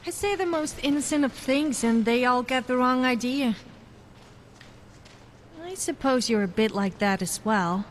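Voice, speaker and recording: A woman's voice: sits high, unhurried, slight background noise. A woman voicing a cheeky, flirtatious adventuring companion in a fantasy game, teasing with mock innocence.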